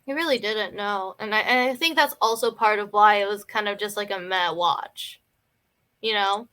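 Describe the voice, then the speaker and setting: A woman's voice: mid-range voice, normal speed, sharp tone. A woman talking casually as a film review panelist, very colloquial and peppered with "like". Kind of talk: casual group film discussion.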